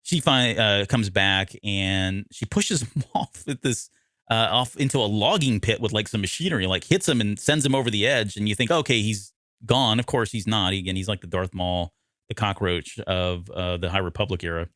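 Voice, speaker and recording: A man's voice: lowish voice, moderate pace, a bit bright, no echo, studio quality. An American man from the Midwest co-hosting a podcast, recapping a story in a casual, informal way with asides. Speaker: podcast co-host.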